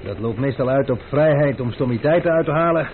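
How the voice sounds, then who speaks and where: An actor playing an unruffled, sardonic gentleman who loves his food, in a historical radio play. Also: medium pitch, fairly quick, lightly acted. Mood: wry. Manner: sardonic, lordly, nonchalant. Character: wry, unruffled gourmand gentleman master. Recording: tinny, band-limited old radio drama with noise.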